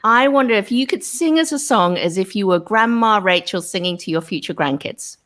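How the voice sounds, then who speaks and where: A woman co-host asking interview questions on a video call, encouraging and quick to praise. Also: medium pitch, animated, fairly bright tone.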